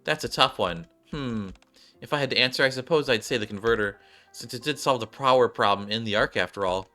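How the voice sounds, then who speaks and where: A man's voice: mid-range voice, a little fast, bright, forceful tone, soft background music, lightly acted. A man voicing a withdrawn, defeated gamer girl from a video game, terse and resigned. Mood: thoughtful.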